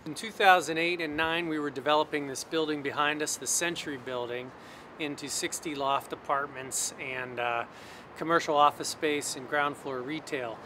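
A man's voice: fairly high pitch, steady tone, moderate pace, bright, forceful tone, a little hiss or hum. A man who develops buildings, explaining a project fluently in an interview outdoors in a city alley.

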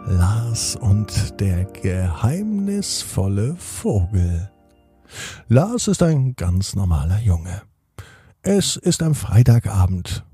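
A man hosting and narrating a children's bedtime story in an expressive manner, speaking directly to young listeners. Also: on the low side, slightly rough, dry recording, studio quality. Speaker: podcast host and storyteller.

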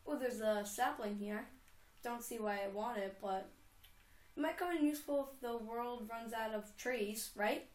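A woman's voice: fairly high pitch, normal speed, echoey room. A young woman casually commenting on her own game, rambling and giggling as she goes. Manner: chatty, rambling, giggly self-talk. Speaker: gamer. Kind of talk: casual let's play commentary.

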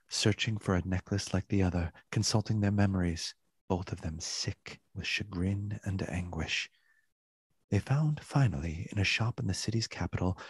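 A man narrating a literary radio play in a solemn, measured, dramatic way, with a husky voice. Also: sits low, no echo. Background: music.

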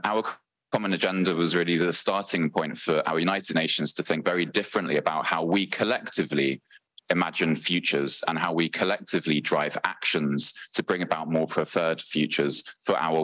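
A very deep-voiced British man gives a conference talk into a handheld mic. He speaks earnestly, with plenty of ums and self-corrections. The sound is close and dry. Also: fairly even intonation, moderate pace, a bit bright, dry recording. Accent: British English. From Britain. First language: English. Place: large conference hall, on stage.

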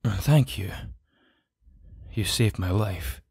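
A man's voice: on the low side, extremely slow, a little mellow, breathy edge, no room sound, clean studio sound, exaggerated voice. A man playing a grave, injured nobleman in an audio drama, speaking formally and solemnly. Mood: pained, weak.

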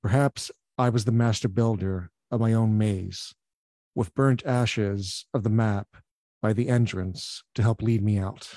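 A man at an open mic reading his poems aloud in a measured, slow manner over a video call. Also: on the low side, fairly even intonation, warm tone, dry recording.

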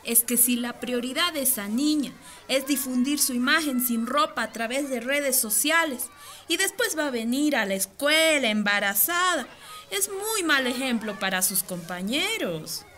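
A judgmental female schoolteacher played by an actress in a radio drama. She is indignant and disapproving, in a high, piercing voice. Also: expressive, moderate pace, bright, forceful tone, a little hiss or hum. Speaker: teacher.

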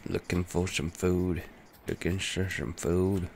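A man in his thirties with a very low, bassy voice and a gravelly edge to it talks through a video game, half to himself. He reads item descriptions aloud in a loose, muttering way. Soft music plays faintly underneath. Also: fairly even intonation, slow. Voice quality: unusually deep bass voice today. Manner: muttering to himself while playing, reading item text aloud. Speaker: gaming streamer. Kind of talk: casual let's-play commentary. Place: home streaming room, indoors.

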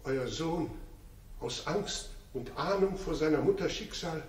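A fearful male servant, delivers grave news slowly and haltingly. The fairly high voice is subdued and set a little away from the mic, in sixties radio drama style. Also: animated. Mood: fearful, grieving. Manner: hesitant, subdued. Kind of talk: 1960s German radio drama acting. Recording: echoey 1960s radio recording, distant from mic.

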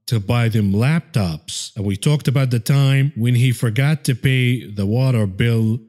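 A man with a relaxed, mellow voice at a medium pitch comments on market news as a show host. His delivery is informal and dryly sardonic, with a tongue-in-cheek edge. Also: measured pace.

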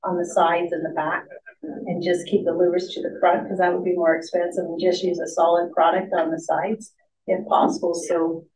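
A woman's voice: on the low side, little pitch movement, moderate pace, darkish tone. A woman presenting a project update to a board in a plain, matter-of-fact way, with many ums and restarts, recorded in a large meeting room through a table microphone. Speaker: staff member presenting to a board. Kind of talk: meeting report. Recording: echoey, distant, dull, faint hiss.

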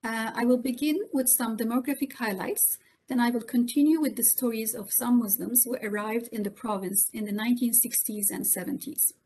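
Higher-voiced woman. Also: fairly even intonation, fairly quick, a little mellow, slight background noise, rough recording. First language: Turkish. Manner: polite and formal, with frequent fillers. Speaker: presenter. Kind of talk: formal presentation on a video call. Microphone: video call, webcam in a home room.

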